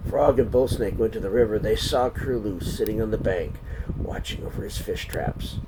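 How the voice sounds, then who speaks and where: A man reading a children's story aloud in a deliberate, expressive way, pausing between phrases. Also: on the low side, noisy background.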